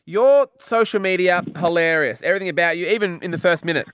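A man's voice: high voice, fast talker, a bit bright, slight background noise. A man hosting a casual radio show, playful and teasing with his co-host and guest. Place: radio studio.

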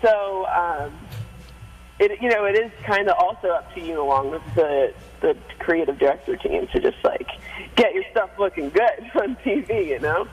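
A woman in her twenties from Staten Island with a deep, raspy voice, an excited singer chatting with radio hosts and laughing often. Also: normal speed, poor audio. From Staten Island, New York. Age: 20s. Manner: giggly, excited, full of fillers. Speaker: singer and interview guest. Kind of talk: casual radio interview.

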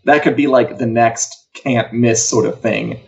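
A man's voice: medium pitch, fairly even intonation, a little slow, creaky, darkish tone. A man co-hosting a casual entertainment live show, talking in a wry, emphatic way. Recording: dull, lo-fi audio.

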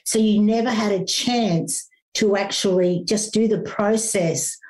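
A middle-aged woman speaks as a grief coach in an interview. She explains her ideas in an earnest, flowing, teacherly way, often restarting her sentences and filling pauses with small sounds. Her voice sits at an ordinary pitch for a woman. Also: steady tone, darkish tone, poor audio. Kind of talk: conversational interview.

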